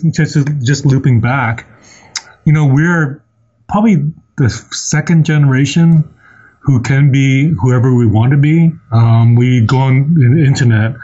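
A man from Hong Kong speaking as a podcast guest, thoughtful and measured with some hesitation. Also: mid-range voice, warm tone, dull audio. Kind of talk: casual interview talk.

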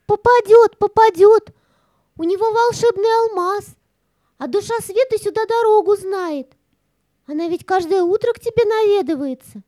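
A woman's voice: very high-pitched, normal speed, warm tone, no echo, clean studio sound. A woman playing an agitated, sly female cat in a children's audio play, speaking urgently and conspiratorially. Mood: insistent warning. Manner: dramatic, urgent storytelling delivery.

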